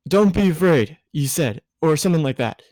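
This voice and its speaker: A man's voice: on the high side, expressive, a little fast, darkish tone, no echo, pitched above the performer's own voice, close to an everyday voice. Man playing a shy, hesitant teenage boy. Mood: flustered. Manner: hesitant, stammering. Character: shy, confused, easily embarrassed teenage boy.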